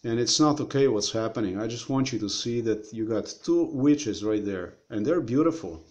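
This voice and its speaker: A man's voice: mid-range voice, lively intonation, normal speed, a little mellow, lo-fi sound. Rambling man talking to his webcam. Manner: meandering, repeats himself, addresses viewers directly. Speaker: vlogger. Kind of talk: rambling screen-share commentary.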